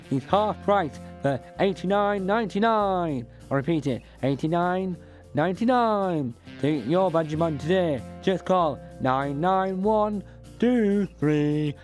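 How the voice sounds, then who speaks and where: A man playing a pushy male salesman in a spoof radio advert, giving a hard-sell pitch and repeating numbers. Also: animated, takes it slowly, darkish tone, faint music behind. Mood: salesy.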